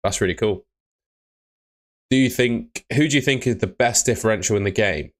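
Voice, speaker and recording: Young male streamer, low voice, relaxed and chatty, reading viewer comments. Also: moderate pace. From Bristol, England. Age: young adult. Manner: relaxed, chatty, answers chat questions. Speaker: fantasy football streamer. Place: small room indoors.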